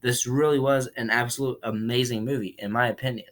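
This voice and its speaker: A man giving a casual spoken movie review while recovering from a cold, rambling and often clearing his throat. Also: medium pitch, fairly even intonation, fairly quick. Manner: rambling, repeats himself, frequent throat clearing.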